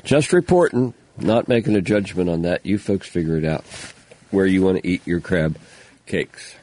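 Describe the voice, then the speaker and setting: A man's voice: low voice, animated, moderate pace, a little mellow, low-quality recording. An older man hosting a local radio show, talking casually in a folksy, rambling way with lots of fillers, sounding stuffy. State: stuffy nose. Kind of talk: casual on-air talk, reading news items.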